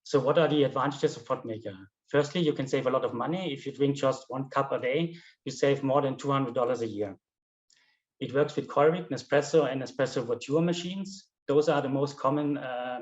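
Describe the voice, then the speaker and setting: German guy pitching his gadget, earnest, echoey room and kinda muffled lo-fi sound. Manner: earnest, demonstrating a device. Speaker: inventor pitching a product. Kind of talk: rehearsed product pitch. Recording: roomy, dull lo-fi sound.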